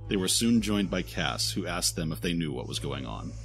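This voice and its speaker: A man's voice: low voice, expressive, moderate pace, a bit bright, husky. A man reading scripted narration for a fantasy audio drama. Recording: music behind the narration.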